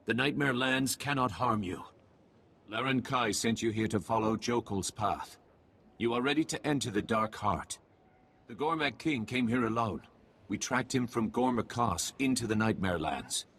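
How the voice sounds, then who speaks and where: Husky, creaky alien mystic guide. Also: male voice, fairly low pitch, fairly bright tone, heavily acted. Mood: grave. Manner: measured, terse, ominous pronouncements. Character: grave male alien mystic guide, cryptic and calm. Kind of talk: video game cutscene acting.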